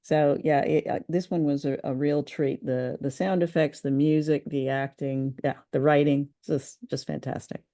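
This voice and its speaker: A woman's voice: low voice, fairly even intonation, moderate pace, hardly any pauses, warm tone. A woman co-hosting a casual review show, talking with gushing enthusiasm about what she has heard. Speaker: podcast co-host reviewing old radio plays.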